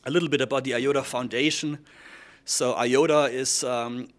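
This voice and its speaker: A man with a German accent speaks as a conference presenter, explaining things to listeners. His voice sits at an average male pitch. He often ends his phrases with small questioning tags. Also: steady tone, normal speed. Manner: frequent questioning tags like "yeah?" and "right?". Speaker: technology foundation speaker giving a presentation.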